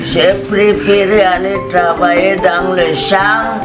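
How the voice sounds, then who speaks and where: An older, retired woman from Cameroon introducing herself informally as a community elder, speaking deliberately phrase by phrase. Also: on the low side, fairly even intonation. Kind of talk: informal spoken self-introduction. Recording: roomy, distant mic, clear music behind.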